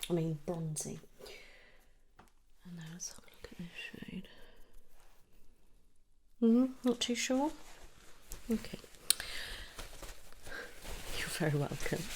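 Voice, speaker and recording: A woman in an ASMR roleplay as a make-up artist, slipping between soft whispers and hushed murmurs in a gentle, reassuring, unhurried manner. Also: lowish voice, lively intonation, a little hiss or hum. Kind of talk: ASMR personal-attention roleplay.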